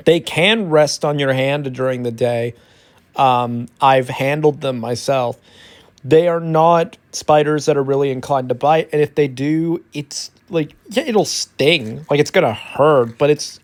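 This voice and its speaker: A man giving a detailed educational explainer in ASMR style, restating key points for emphasis as he goes. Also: a little high. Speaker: educational content creator.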